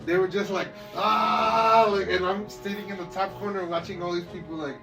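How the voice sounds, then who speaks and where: A man's voice: high voice, steady tone, normal speed. A man co-hosting a podcast, rambling casually in slangy talk and swearing freely. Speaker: podcast co-host. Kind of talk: casual chat about movies and video games. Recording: distant mic with music behind. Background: music.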